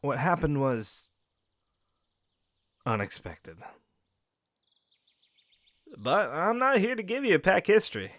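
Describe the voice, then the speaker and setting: A man voices a friendly young werewolf who talks softly and reassuringly to you, his tone rising and falling with real animation. The close mic sounds completely dry. He stays easygoing and caring. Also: a little high, wildly swooping pitch, slow, long pauses, no echo, exaggerated voice. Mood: somber. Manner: gentle, reassuring, speaks directly to the listener. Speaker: audio roleplay character. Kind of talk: scripted audio roleplay addressing the listener.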